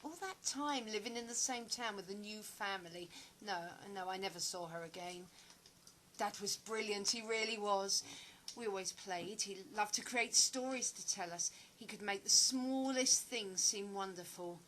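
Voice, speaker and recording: A woman's voice: mid-range voice, normal speed, a bit bright, slight background noise, lightly acted. A woman acting in an audio play as a guarded, wistful character, speaking in a reserved and hesitant way. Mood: wistful, reminiscing. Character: guarded, wistful woman living alone, grieving her father.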